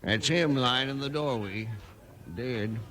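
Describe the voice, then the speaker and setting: Bright, rustic Old West townsman. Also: mid-range voice, takes it slowly. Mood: agitated, bitter. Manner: folksy, emphatic. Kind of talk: 1950s radio western acting. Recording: 1950s radio recording.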